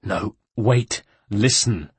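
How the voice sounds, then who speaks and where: Man playing an earnest, husky, patient adventurer. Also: lowish voice, lively intonation, moderate pace, darkish tone, no room sound. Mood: urgent, reassuring. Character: earnest, patient male Italian adventurer seeking information.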